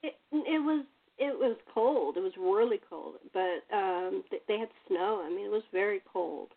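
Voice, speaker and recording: A woman's voice: medium pitch, animated, moderate pace, vocal fry. An American woman from Los Angeles hosting a casual chat in a rambling, repetitive way over tinny phone-like audio. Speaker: host and playwright.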